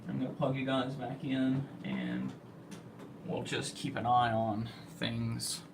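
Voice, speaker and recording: Slow, halting man, gravelly voice. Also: medium pitch, sing-song. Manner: halting, hesitant delivery with trailing pauses. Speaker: amateur storm spotter livestreamer. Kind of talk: casual livestream chat to viewers. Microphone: phone, held or propped nearby. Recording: noisy phone livestream audio.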